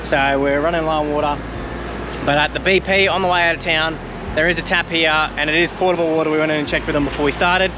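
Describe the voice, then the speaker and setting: A man's voice: on the high side, fairly even intonation, fast talker, music in the background. Laid-back Australian man vlogging outdoors. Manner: laid-back, rambling, practical. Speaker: travel vlogger. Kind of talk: casual vlog narration. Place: outdoors by a highway and camp.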